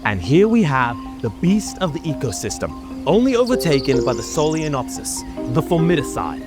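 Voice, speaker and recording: Man acting a pompous, animated nature documentary host. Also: a little high, normal speed, music in the background. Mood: hushed, grandiose. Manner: pompous, theatrical. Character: pompous, self-important male nature documentary host, affected accent. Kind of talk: mock nature documentary narration.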